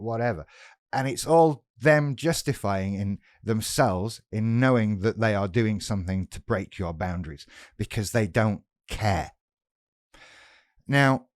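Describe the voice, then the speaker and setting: A British man with a head cold and a rough voice, talking frankly and bluntly in a casual monologue about mental health, with some swearing. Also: on the low side, dry recording, studio quality. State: has a cold, sounds rough.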